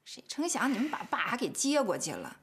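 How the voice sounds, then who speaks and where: Brash, piercing older sister. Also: female voice, fairly high pitch, wildly swooping pitch, fairly quick, fairly bright tone, husky, heavily acted. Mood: defensive. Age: late 30s. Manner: combative, indignant. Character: brash, stubborn, scheming older sister, quick to take offence. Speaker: actor in a family TV drama. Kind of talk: naturalistic TV drama acting. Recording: faint background music.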